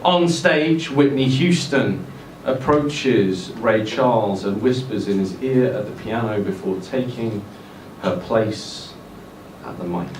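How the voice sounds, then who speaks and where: A man's voice: lowish voice, slow pace. Man reading fiction live in echoey room. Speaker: story reader at live event. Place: bar event room, indoors. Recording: roomy distant live-event recording, faint noise.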